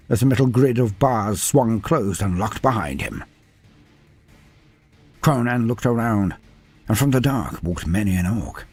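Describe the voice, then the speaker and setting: Husky, animated male storyteller. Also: mid-range voice, no room sound. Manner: animated storytelling with wry asides. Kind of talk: scripted fantasy narration.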